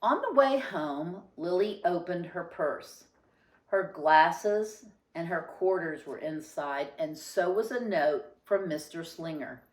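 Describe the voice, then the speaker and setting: Female storybook reader: expressive, unhurried narration, a little low-pitched, with audible room echo.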